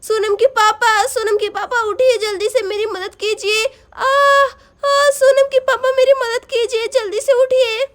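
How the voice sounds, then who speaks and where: Woman doing a tearful pleading mother, very high voice, sobbing. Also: fairly even intonation, unhurried, hardly any pauses, fairly bright tone.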